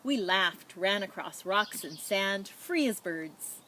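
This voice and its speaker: A woman reading a children's picture book aloud in a measured, expressive storytelling manner, with spoken asides. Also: medium pitch, slight background noise. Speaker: storybook reader.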